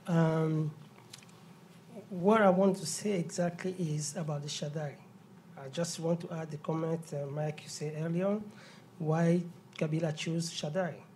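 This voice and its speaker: Congolese man, audience speaker, mellow tone, halting delivery. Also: on the high side, fairly even intonation, a little hiss or hum. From Democratic Republic of the Congo. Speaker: audience member at a panel Q&A. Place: conference room.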